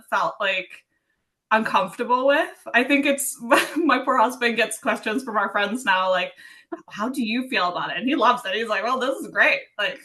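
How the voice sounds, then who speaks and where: A woman's voice: a little high, sharp tone. A young adult woman from Sweden, an author chatting casually in a podcast interview, giggling often and using many fillers. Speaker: author guest.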